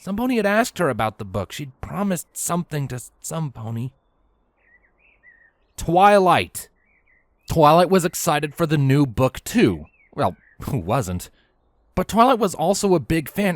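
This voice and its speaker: A man narrating a story from a script in an expressive, animated way with theatrical emphasis. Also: a little high, a little slow, no echo. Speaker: audiobook-style narrator of fan fiction.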